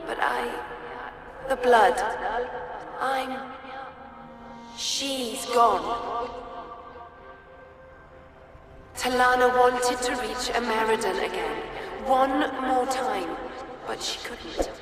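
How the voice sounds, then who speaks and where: A woman voicing a sorrowful, dazed female spirit in a video game, speaking in halting fragments. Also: on the high side. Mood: dazed, mournful. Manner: halting, fragmented phrases with long pauses. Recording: echoey, long reverb, music underneath.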